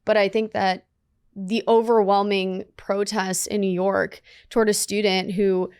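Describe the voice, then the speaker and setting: A young woman whose first language was Russian, speaking earnestly and deliberately as a guest in a studio interview. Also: medium pitch, flat delivery, a little mellow, clean tone, no room sound. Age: young adult. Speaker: guest, student leader. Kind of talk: conversational interview. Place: dark studio set with sofas. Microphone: studio mic on a boom arm.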